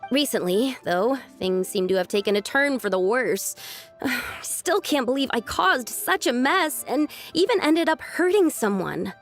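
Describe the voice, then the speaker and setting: A woman's voice: sits high, animated, measured pace, soft background music. A woman playing an earnest, troubled but determined young woman in a video game, speaking in a confiding, conversational way. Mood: dejected.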